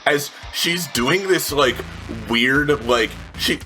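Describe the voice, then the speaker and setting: A man playing a tabletop game, chatting casually and thinking aloud in halting, repeated phrases with casual swearing. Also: fairly high pitch, pushed and bright, poor audio. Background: faint music.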